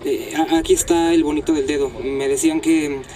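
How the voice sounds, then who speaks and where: Casting: actor for young male hostage, jittery, stammering and respectful, lightish pitch, hurried speech. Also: steady tone, background noise, close to an everyday voice. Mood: nervous, hesitant. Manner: hesitant, stammering, deferential. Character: nervous young male kidnap victim testifying on television.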